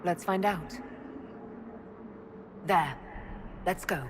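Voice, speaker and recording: Resolute fantasy heroine, game dialogue, direct, plain-spoken, lowish pitch, light background noise. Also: female voice, extremely slow. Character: resolute, questioning female leader of an order. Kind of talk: dramatic fantasy game acting.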